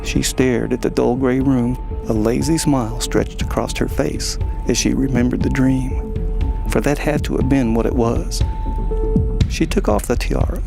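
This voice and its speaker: A man's voice: medium pitch, creaky. A man reading scripted story narration for an audio drama.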